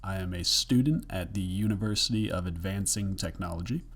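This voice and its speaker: A young man, a student, reading a project presentation aloud in a steady, scripted way, a bit hoarse from the flu. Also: low voice, a little fast. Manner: steady, scripted, list-like delivery.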